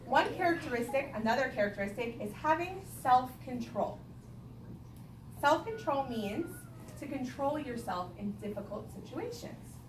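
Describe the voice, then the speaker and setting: A woman leads a read-aloud lesson as an elementary school teacher, with a highish voice that swings up and down. She questions the children and repeats their answers. The recording is echoey and distant, with clear background noise. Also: big pitch swings, moderate pace. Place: classroom with young children. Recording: echoey room, distant mic, noisy background.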